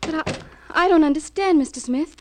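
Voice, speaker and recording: An actress playing a sweet, anxious, trusting young wife in a 1950s radio drama, fretful and questioning. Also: female voice, high voice, moderate pace, darkish tone, a little hiss or hum, close to an everyday voice. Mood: anxious, puzzled.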